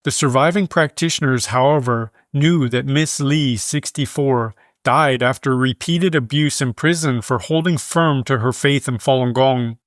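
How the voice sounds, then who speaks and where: A man reading scripted news in a measured, formal manner. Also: mid-range voice, no echo, clean studio sound. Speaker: news reader.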